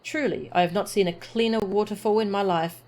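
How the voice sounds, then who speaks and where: A woman's voice: mid-range voice, fairly quick, a little mellow, natural voice. A woman voicing a grateful village woman who trades fish with her husband, speaking earnestly and formally.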